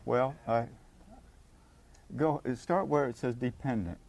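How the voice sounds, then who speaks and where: An older man giving a casual workshop talk to a live group on a handheld mic, halting and often restarting his sentences. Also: medium pitch, creaky, darkish tone. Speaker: workshop speaker at a recovery meeting. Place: meeting room with an audience. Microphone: handheld microphone.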